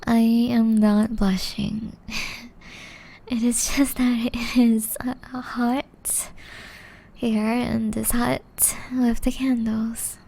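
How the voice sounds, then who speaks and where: A woman voicing a gentle, caring young witch in love, whispering softly and tenderly in an ASMR romance roleplay. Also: on the high side, little pitch movement, exaggerated voice. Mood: flustered, shy. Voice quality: soft, breathy whisper. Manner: slow, tender, intimate delivery.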